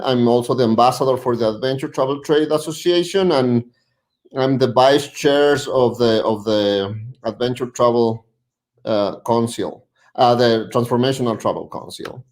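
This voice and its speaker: A man, speaking at a middle, ordinary pitch. He presents over a video call in a halting way, searching for his words. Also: a little mellow. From Ecuador. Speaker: guest, tourism representative.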